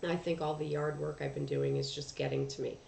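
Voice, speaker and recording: A woman chatting casually on a livestream, congested from allergies, rambling with many ums and throat clears, recorded through a headphone mic across the room at home. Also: low-pitched, little pitch movement, fairly quick, darkish tone. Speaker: livestream host. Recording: echoey room, faint background noise.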